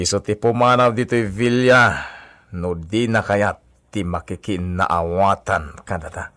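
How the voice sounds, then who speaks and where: An actor playing a proud, scheming brother from a hacienda family in an Ilocano radio drama, with emphatic theatrical delivery. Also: male voice, sits low, slow, fairly bright tone, no echo, studio quality. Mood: defiant, scheming.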